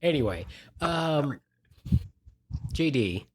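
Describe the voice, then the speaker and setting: Animated joking male podcast co-host. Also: fairly high pitch, drawn-out words. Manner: joking, teasing, laughs often. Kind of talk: casual podcast chat.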